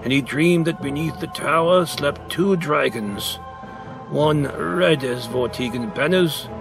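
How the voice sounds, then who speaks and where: A man reading a storybook aloud in a deliberate, theatrical narrating style. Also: on the high side, creaky, music in the background, low-quality recording. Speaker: story reader.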